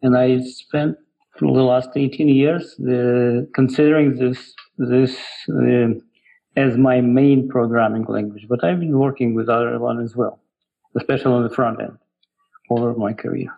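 A middle-aged man from Ukraine with an Eastern European accent, giving halting, reflective, explanatory answers as an interview guest. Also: medium pitch, level tone, vocal fry, phone-quality sound. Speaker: guest, software developer.

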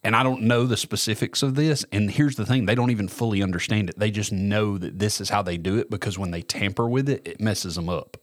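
A man's voice: on the low side, brisk, few pauses, no room sound, studio quality. A man, co-hosting a podcast, reading a news story aloud and often tripping over names. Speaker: podcast co-host. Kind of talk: casual chat, reading a news article aloud.

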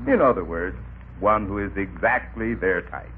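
An actor playing a fussy, formal club interviewer in an old radio comedy, explaining things patiently. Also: male voice, low voice, normal speed, close to an everyday voice. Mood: formal, helpful. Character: officious, fussy male social club interviewer. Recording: scratchy old radio broadcast, narrow band.

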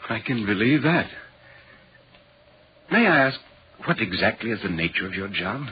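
Curious gentleman, very animated intonation. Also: lowish voice, normal speed. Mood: polite, curious. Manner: polite, inquisitive, earnest. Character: curious, courteous gentleman traveller visiting a signalman. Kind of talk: 1940s radio horror drama acting. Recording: muffled, noisy 1940s radio recording.

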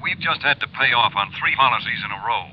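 Faintly noisy vintage radio recording, man acting urgent male executive, emphatic, medium pitch. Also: expressive, quick pace, lo-fi sound, close to an everyday voice. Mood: grave, urgent. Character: urgent male insurance executive calling an investigator. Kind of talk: 1950s radio drama acting.

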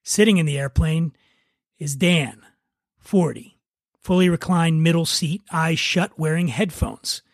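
A man's voice: a little high, measured pace, no echo, clean studio sound. A man hosting a podcast, talking casually and narrating a script. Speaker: podcast host and writer.

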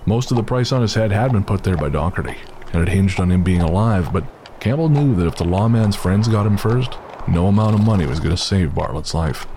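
A man narrating a western horror story in a measured, steady way. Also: low-pitched, slight background noise.

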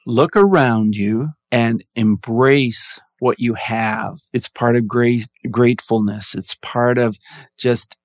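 Calm reflective man, dry sound. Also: medium pitch, dry recording. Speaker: podcast guest. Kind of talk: interview storytelling.

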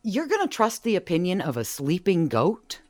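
An actress playing an incredulous, sardonic person being questioned in a comic audio drama. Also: female voice, on the low side, wildly swooping pitch, moderate pace, darkish tone, dry recording. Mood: sardonic disbelief. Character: incredulous, sceptical interview subject facing a polygraph. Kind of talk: comedic audio drama acting.

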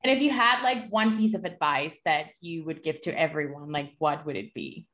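A woman's voice: sits low, animated, moderate pace, a bit bright. A woman hosting a casual interview, often giving short agreeing replies and giggling, speaking English as a second language. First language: not English. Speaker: host.

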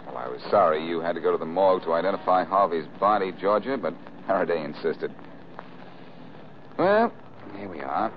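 An American actor playing a wisecracking, cool-headed amateur sleuth in a breezy, insistent 1940s radio style. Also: male voice, sits low, expressive, fairly quick. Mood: gentle, teasing. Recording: muffled, noisy old radio recording.

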